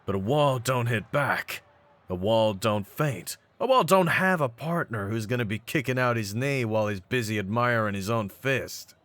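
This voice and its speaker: A man voicing a cocky, brawny pit-fighting champion in a roleplay audio, with a deep voice and streetwise, mocking swagger. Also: moderate pace, a bit bright, close to an everyday voice. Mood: scornful, fired up. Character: cocky, brawny half-breed pit-fighting champion, hyping his partner. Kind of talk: scripted in-character roleplay audio.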